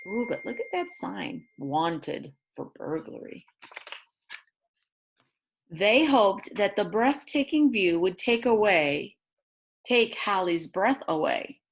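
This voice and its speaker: A woman reads a picture book aloud to young children in an expressive way, adding chatty asides about the pictures. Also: mid-range voice, slow, gravelly, a little hiss or hum, low-quality recording. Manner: expressive, exclaims and gasps over the pictures. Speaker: read-aloud storyteller for children.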